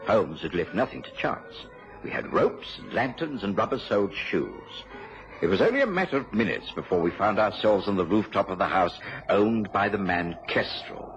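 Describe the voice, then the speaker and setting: An actor playing a loyal, earnest British gentleman, companion and narrator in a mid-century radio detective drama. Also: fairly low pitch, lively intonation, normal speed, soft background music. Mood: measured, narrating. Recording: dull old radio recording.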